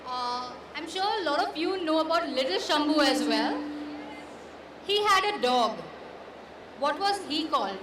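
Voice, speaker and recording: Slow, high-pitched woman hosting a quiz. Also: lively intonation. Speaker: magazine editor and quiz host. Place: comic convention event. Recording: echoey noisy crowded hall, distant pickup.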